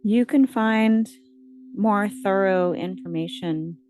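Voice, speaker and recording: A woman narrating a scripted guided meditation with scripture readings, reciting in measured short phrases. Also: medium pitch, a little mellow, a little hiss or hum.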